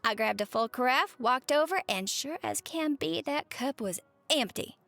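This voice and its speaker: A cheerful, folksy waitress with a Southern US accent , played by a woman, chats to a late-night customer in a roleplay audio. Her voice sits on the high side and is expressive as she spins her stories. Faint music plays behind her. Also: normal speed, no room sound. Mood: chatty, amused. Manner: folksy, expressive, uses pet names. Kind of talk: roleplay monologue to the listener, chatty storytelling.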